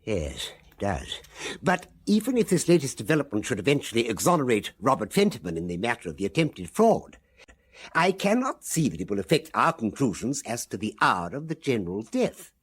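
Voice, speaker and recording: Formal, fussy older English lawyer from a period radio play. The voice is husky and very animated in pitch, with precise upper-class diction and a disapproving, proper air. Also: male voice, a little fast. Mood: urgent, insistent. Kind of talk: British period radio drama acting.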